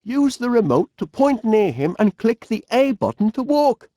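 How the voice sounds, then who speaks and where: A man's voice: extremely high pitch, big pitch swings, normal speed, dark tone, dry recording, clean studio sound, exaggerated voice. A man voicing a cheerful, fussy middle-aged inventor with a Northern English accent in a video game, genial and bumbling. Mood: helpful, chirpy. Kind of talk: animated video game character voice lines.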